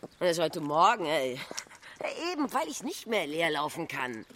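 An actress playing a restless, world-weary young Parisian courtesan in a German radio play, halting and emphatic, moving between murmurs and outbursts. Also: female voice, low-pitched, very animated, a bit bright, slight background noise. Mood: agitated, desperate.

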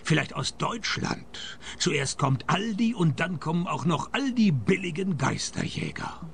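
An actor playing a sardonic, hard-up male private detective in a radio play, wisecracking in a deadpan way. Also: a little high, measured pace, creaky, slight background noise, full character voice. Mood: dry, teasing. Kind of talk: radio play dialogue and first-person narration.

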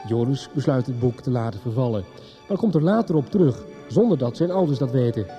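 A man's voice: mid-range voice, animated, brisk, warm tone, faint music behind. A man narrating a scripted recap for a radio soap. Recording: dull, band-limited 1990s radio recording.

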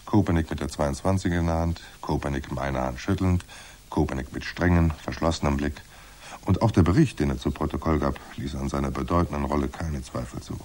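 An actor playing a wry, world-weary private detective in a noir radio drama, speaking in a dry, sardonic, laconic way. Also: male voice, extremely low pitch, fairly quick, a little mellow. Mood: wry, detached.

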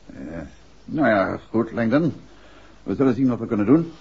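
A man's voice: medium pitch, very animated, moderate pace, breathy edge, exaggerated voice. An actor playing a composed, courteous male detective in a 1960s radio drama. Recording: muffled hissy vintage radio recording.

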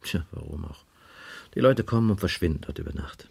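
A police inspector in a 1980s radio crime drama. His low voice is husky, with lively swings in intonation. He questions patiently and drily, with a wry, probing edge. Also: brisk, heavily acted. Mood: wry, pressing. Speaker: actor in a radio crime play.

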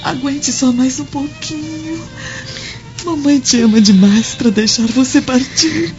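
A loving, anguished mother pleads tearfully in a high voice with a Brazilian accent. Her words come very slowly and tenderly, in radio melodrama fashion. Loud music sits behind her. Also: dull audio. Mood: tearful pleading. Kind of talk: radio drama acting.

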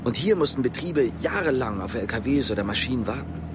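A man's voice: medium pitch, expressive, a little fast, close to an everyday voice. A man playing a guarded, brooding East German policeman on the run in a radio drama, speaking tersely and with understatement. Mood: resigned. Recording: noisy, car and traffic sounds. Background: vehicle ambience.

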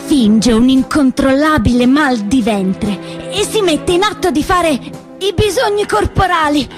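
A woman performing scripted theatrical storytelling, with dramatic, emphatic and deliberate phrasing that moves between hushed and declamatory. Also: high-pitched. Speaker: solo theatre performer and narrator. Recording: roomy stage sound, faint music behind.

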